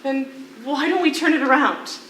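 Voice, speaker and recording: An actress playing an earnest, idealistic imprisoned singer in a stage drama, with a measured, pleading delivery. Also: female voice, high-pitched, fairly bright tone. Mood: hopeful, coaxing. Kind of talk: theatrical stage acting. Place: theatre stage, miked from a distance. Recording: echoey, slightly noisy distant stage recording.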